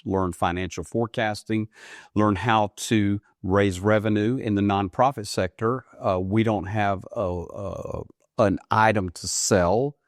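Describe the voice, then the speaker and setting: A man in his fifties from the United States, answering interview questions earnestly, often rising in pitch for emphasis. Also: dry recording, clean studio sound. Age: 50s. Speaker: guest, ministry leader. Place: studio.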